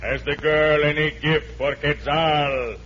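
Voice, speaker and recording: An actor playing a menacing, pompous fire god who commands sacrifice in 1940s radio drama style. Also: male voice, on the high side, fairly even intonation, slow, fairly bright tone. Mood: imperious. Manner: pompous, imperious proclaiming. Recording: muffled vintage radio, faint noise.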